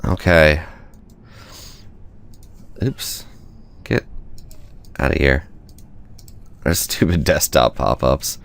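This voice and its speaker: Very deep-voiced congested man gaming. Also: very slow, vocal fry, pauses often. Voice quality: congested, nasal. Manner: thinking aloud, rambling with fillers. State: stuffed up with a cold. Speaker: gaming channel host. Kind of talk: let's play commentary.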